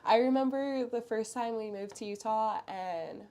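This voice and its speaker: A woman's voice: a little high, moderate pace, a little mellow. A young woman from Hawaii, a college student who works as a peer advisor, chatting in an upbeat, friendly way. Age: young adult. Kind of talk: casual chat.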